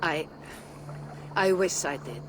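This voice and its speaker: An actress playing a gentle, concerned Norse seeress in a video game, soft-spoken and earnest. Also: female voice, low-pitched, lively intonation, slow, noisy background. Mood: sad, concerned. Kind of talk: cinematic video game acting. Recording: loud music behind the voice.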